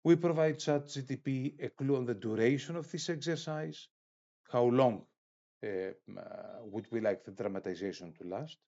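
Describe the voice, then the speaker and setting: A man giving a formal instructional lecture in a halting, hesitant way, with pauses mid-phrase. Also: mid-range voice, gravelly, mellow, highs cut off. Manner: formal, academic wording. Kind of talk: instructional lecture, explaining step by step.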